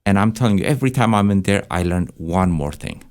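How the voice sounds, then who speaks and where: A man's voice: low-pitched, expressive, fairly quick, a little mellow. A man, a counselor reflecting aloud in conversation in a discursive way. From Ethiopia. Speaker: church counseling director and therapist, panel guest.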